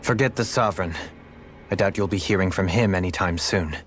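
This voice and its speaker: Formal, eloquent noble lord. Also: male voice, deep voice, a little fast, a bit bright, heavy reverb, big-room sound, distant mic, faint music behind. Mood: dry, grave. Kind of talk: video game voice acting.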